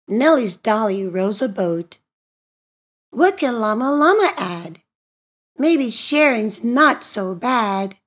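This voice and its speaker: A woman reading a children's picture book aloud in an expressive, deliberate, sing-song way for young listeners. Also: fairly high pitch, husky. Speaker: storybook reader and host of a read-aloud channel.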